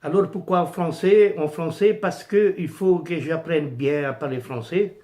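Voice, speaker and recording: Slow, hesitant Italian-accented man. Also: a little high, darkish tone. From Italy. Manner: hesitant, many fillers and restarts, searching for words. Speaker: YouTuber showing his pin collection. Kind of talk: casual unscripted vlog.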